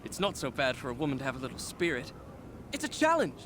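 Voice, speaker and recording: An actor playing a proud, headstrong young prince in a video game, speaking in a formal, literary and self-absorbed way. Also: male voice, medium pitch, wildly swooping pitch, fast talker, heavy background noise. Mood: flustered, haughty. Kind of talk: dramatic video game acting, storybook-like narration. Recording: loud music behind the voice. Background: game soundtrack music.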